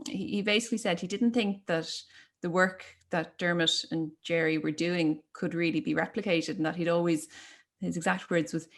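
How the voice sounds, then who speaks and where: A woman with an Irish accent hosting a casual interview, asking questions in a conversational way. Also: lowish voice. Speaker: host, interviewer.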